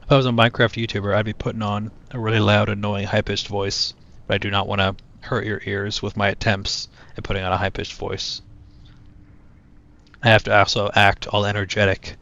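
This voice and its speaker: Monotone young man on a cheap headset. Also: brisk, creaky. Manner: low-key, talks to chat while playing. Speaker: streamer. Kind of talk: casual gaming stream chat. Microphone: cheap headset mic. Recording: dull headset mic with game music.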